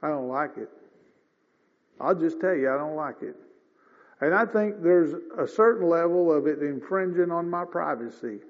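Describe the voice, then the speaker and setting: A man in his fifties preaching a sermon in a folksy, conversational way, often clearing his throat. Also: on the high side, expressive, moderate pace. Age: 50s. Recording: dull lo-fi sound with long reverb.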